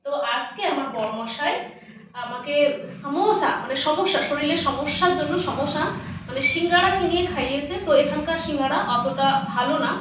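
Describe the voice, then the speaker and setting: A high-voiced woman with a West Bengal Bengali accent talks in a chatty, casual vlog style, addressing viewers like friends. The sound is strongly echoey and a little dull, as if recorded indoors on a phone or camera some way off. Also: a little fast, fairly bright tone. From West Bengal, India. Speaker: lifestyle vlogger. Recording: roomy echo, distant mic, dull, faint noise.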